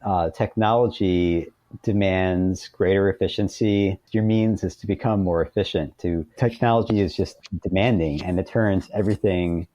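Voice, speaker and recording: A man's voice: deep voice, fairly even intonation, moderate pace, dark tone, no echo. A man speaking as a podcast guest, explaining ideas in a thoughtful, reflective interview style.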